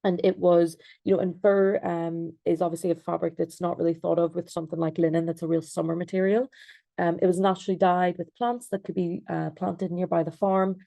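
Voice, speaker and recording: A woman's voice: fairly low pitch, level tone, brisk, gravelly, dark tone, dry recording. A young Irish woman giving a presentation over a video call, hesitant, with many fillers and restarts. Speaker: designer and researcher.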